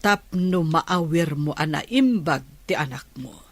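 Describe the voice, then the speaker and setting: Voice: mid-range voice, animated, unhurried. An actor playing a caring mother of a grown daughter in audio drama dialogue.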